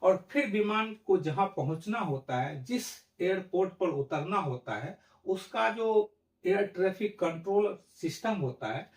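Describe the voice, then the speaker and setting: A man's voice: high-pitched, lively intonation, measured pace. A man giving an explanatory lesson in a didactic, step-by-step way. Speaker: educational presenter. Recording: roomy echo, distant microphone.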